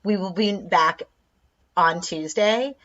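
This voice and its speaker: A woman comedian co-hosting a casual live chat, animated and talkative, often saying "like". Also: medium pitch, takes it slowly, highs cut off. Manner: chatty, animated, lots of "like". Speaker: comedian co-host.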